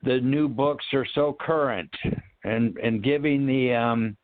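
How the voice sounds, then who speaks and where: A man speaking in a halting, reflective way, searching for words and trailing off as he discusses spiritual ideas, over a narrow phone-like connection. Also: medium pitch, no room sound. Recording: narrow, tinny phone-line sound.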